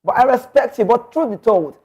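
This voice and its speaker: A man's voice: very high voice, lively intonation, fairly quick, a little mellow, breathy edge. A Nigerian man hosting a sports talk show, giving passionate, opinionated football commentary full of emphatic rhetorical questions.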